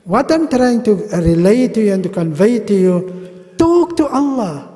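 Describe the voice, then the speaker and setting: A middle-aged South African man teaching a pilgrimage class at a mosque podium, animated and gesturing, with humorous asides. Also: high voice, unhurried. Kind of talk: religious class lecture. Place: large mosque hall. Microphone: gooseneck podium mic. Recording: long reverb of a mosque hall.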